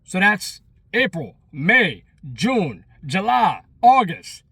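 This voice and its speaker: A man in his thirties with a notably high voice and a Long Island accent. He rambles casually to his vlog viewers, emphatic and repetitive, in a dry recording with little room sound. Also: very animated, takes it slowly, pushed and bright, slightly rough, dry recording. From Long Island, New York. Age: 30s. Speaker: vlogger.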